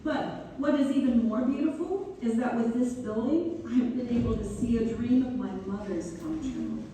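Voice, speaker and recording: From some distance in a reverberant hall, an actress plays a gracious woman benefactor in a stage reading. Her voice is fairly high and warm, idealistic and sincere. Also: moderate pace, close to an everyday voice. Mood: proud, sincere. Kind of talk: dramatic read-through on stage. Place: reverberant hall, community theater performance. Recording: echoey hall from far off, faint noise.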